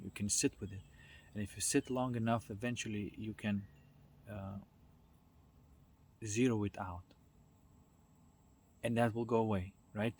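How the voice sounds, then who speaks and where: A man giving an informal, unscripted talk as a spiritual self-development speaker, halting as he searches for words and often checking in with the listener. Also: lowish voice. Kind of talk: informal unscripted explanatory monologue. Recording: noticeable background noise.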